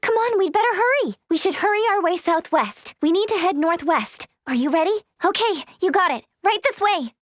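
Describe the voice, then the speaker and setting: A woman's voice: very high voice, expressive, normal speed, few pauses, dry recording, clean studio sound. A woman playing an earnest, kindly young healer's helper in a video game, speaking politely and eagerly in scripted lines. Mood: upbeat, guiding.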